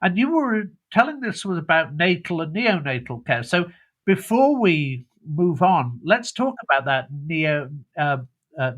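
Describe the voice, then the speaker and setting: A man's voice: a little high, a bit bright. Chatty British man hosting an interview. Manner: chatty, rambling questions with restarts. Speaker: podcast host. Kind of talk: conversational interview.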